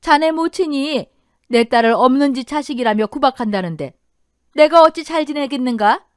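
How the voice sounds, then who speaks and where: A dignified older mother in a radio drama, played by a woman. She is protective and heartfelt, emphatic and high in pitch, with lively swings. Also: measured pace, no room sound, clean studio sound. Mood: reproachful.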